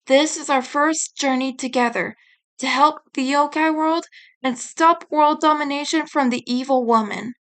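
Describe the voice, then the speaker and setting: A woman's voice: high-pitched, moderate pace, fairly bright tone. A woman narrating her own fantasy story in a scripted storybook style.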